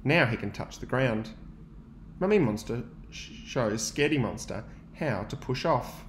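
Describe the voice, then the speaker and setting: Aussie guy teacher reading a kids book, takes it slow, roomy echo. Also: mid-range voice, a little hiss or hum. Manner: gentle, expressive storytelling with pauses to ask questions. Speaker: teacher reading aloud to young students. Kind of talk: children's picture book read-aloud.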